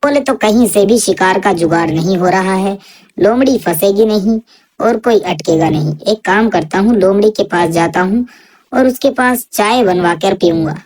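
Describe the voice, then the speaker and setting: A woman's voice: medium pitch, normal speed, a little mellow, natural voice. A woman voicing a stern, hungry lion king in a children's animal story. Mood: grumbling, hungry.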